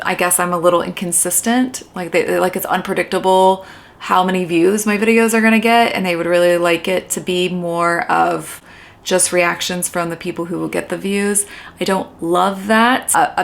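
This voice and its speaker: A woman therapist chatting casually in a rambling way, with a noticeable vocal fry in her voice. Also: on the low side, fairly bright tone. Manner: chatty and rambling, sometimes dropping to a whisper. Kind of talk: casual reaction commentary.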